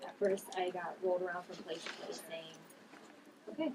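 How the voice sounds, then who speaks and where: Gentle, guarded young woman in grief actress at a table read, lower register, noisy room. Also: level tone, normal speed, lightly acted. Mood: sorrowful. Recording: distant mic, clear background noise.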